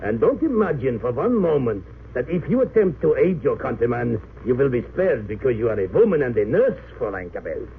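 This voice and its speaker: An actor playing a cold, haughty German army lieutenant in an old radio drama, stern, formal and sneering. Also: male voice, medium pitch, very animated, fairly quick, few pauses. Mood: menacing, icy. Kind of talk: 1930s-40s radio drama acting. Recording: tinny, hissy old radio recording.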